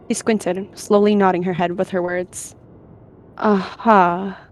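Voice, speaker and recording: A woman narrates a game roleplay story in the third person. Her voice sits a little lower than most women's. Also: flat delivery, normal speed, darkish tone, a little hiss or hum.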